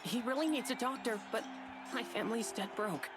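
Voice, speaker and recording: A woman's voice: fairly high pitch, a little fast, natural voice. A woman voicing a scrappy young boy inventor in a video game, brash and slangy. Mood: sorrowful pleading. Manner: brash, slangy, emotional pleading. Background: game music.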